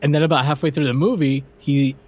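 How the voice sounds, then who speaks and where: A man co-hosts a podcast in casual chat, cracking dry jokes while halting and restarting his sentences. His voice comes through slightly dull and muffled. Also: fairly high pitch, steady tone, a crowd in the background. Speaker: podcast co-host.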